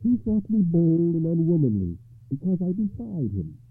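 Casting: man putting on a poised enchanted lady, slow and high, a bit husky, tinny phone-like sound. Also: very animated, no room sound, full character voice. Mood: gentle, poised. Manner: slow, raised and deliberate. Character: enchanted noble lady, calm and wise. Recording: dry phone-like muffled sound.

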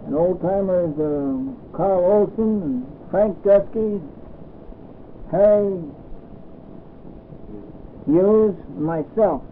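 A man's voice: sits high, extremely slow, pauses often. A man interviewing an old-timer in an oral history recording, hesitant and full of fillers. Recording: tinny old tape with faint hiss.

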